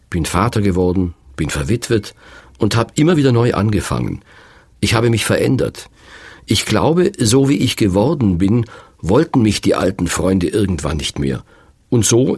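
A man in his fifties narrating a radio feature in a slow, musing, reflective way. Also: fairly low pitch, darkish tone, slightly rough, no echo, studio quality. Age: 50s.

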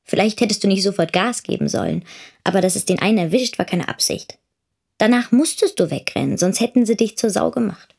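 An actress playing a wounded, plain-spoken young daughter in a radio drama monologue, with a husky, breathy, creaky voice and subdued manner. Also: female voice, mid-range voice, a little mellow. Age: young adult. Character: wounded, plain-spoken teenage daughter recounting her ordeal.

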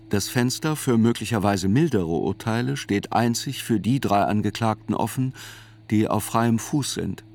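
An actor as a sober chronicler, narrating a radio drama in reflective, deliberate literary phrases. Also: male voice, lowish voice. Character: sober male chronicler narrating a criminal trial.

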